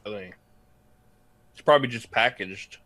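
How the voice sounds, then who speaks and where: A man's voice: lowish voice, moderate pace, pushed and bright. A man chatting casually on an online video call.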